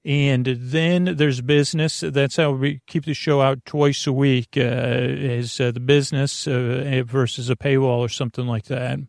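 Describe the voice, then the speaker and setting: A man with a creaky, gravelly voice delivers a meandering monologue as a sleep podcast host. He drifts into tangents and breaks off his own sentences in a halting way. The recording is dry and close, with very little room sound. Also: medium pitch, steady tone, darkish tone, dry recording. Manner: meandering, halting, full of tangents and self-interruptions.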